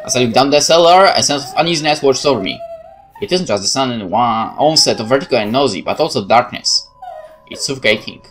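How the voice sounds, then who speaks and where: A man reading a horror visual novel aloud as a gaming host, with dramatic, expressive delivery and exclamations. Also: medium pitch, quick pace, a little hiss or hum. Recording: music behind the voice.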